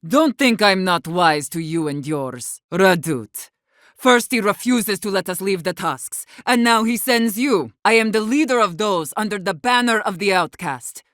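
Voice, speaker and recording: A woman's voice: medium pitch, expressive, a little slow, fairly bright tone, no room sound, clean studio sound. An actress playing a defiant, battle-hardened woman who leads exiled wardens in a video game, with a blunt, commanding manner. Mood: hostile, commanding. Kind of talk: video game character dialogue.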